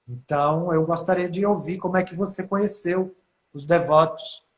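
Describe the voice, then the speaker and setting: A man's voice: a little high, normal speed. A man with a Brazilian accent, hosting and giving a devotional talk in an online spiritual interview. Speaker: host of an online spiritual mentoring session.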